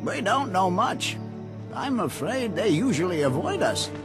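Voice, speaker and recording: A man voicing an eerily friendly, curious alien villager in a video game, polite and drawn-out. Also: high voice, sing-song. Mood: polite, guarded. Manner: polite, oddly fascinated, drawn-out. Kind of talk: video game cutscene acting. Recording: music behind the voice.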